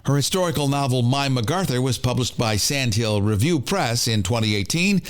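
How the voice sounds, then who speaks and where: A man's voice: medium pitch, animated, moderate pace, clear, resonant tone. A man hosting an interview, introducing his guest and asking questions. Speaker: podcast host and interviewer.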